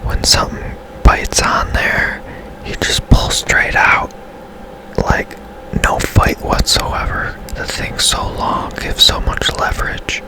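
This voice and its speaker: Slow, whispering man doing gaming ASMR. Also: rough recording. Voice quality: soft unvoiced whisper. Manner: unhurried, casual, rambling. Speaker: game streamer. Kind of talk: ASMR gameplay commentary.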